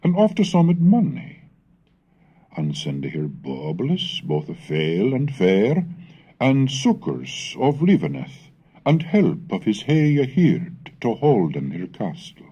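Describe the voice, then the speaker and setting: A man reading a scripted educational recording on language history, scholarly and measured, turning theatrical when he recites old verse. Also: medium pitch, wildly swooping pitch, dark tone, breathy edge. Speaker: reader and lecturer on English language history. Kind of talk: scripted educational narration with dramatic recitation of Middle English texts. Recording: 1970s educational cassette recording.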